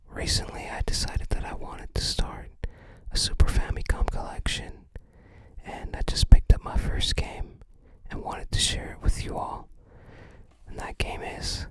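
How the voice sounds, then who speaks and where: Asmr host doing an unboxing, all breathy whispering, really slow and unhurried.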